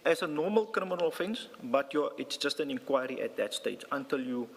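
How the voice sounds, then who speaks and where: A man's voice: mid-range voice, animated, fairly quick. A South African man testifies formally as a police witness. His delivery halts and repeats at times, and the voice rings with the long reverb of a hearing room. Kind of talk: formal testimony, partly reading a statement aloud. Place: large indoor hearing room.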